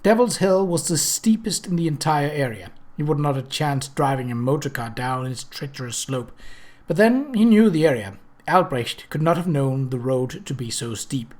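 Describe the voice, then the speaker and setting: A man reading a scripted story aloud as an audiobook narrator, with an expressive, dramatic delivery. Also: a little high, normal speed. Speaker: audiobook reader.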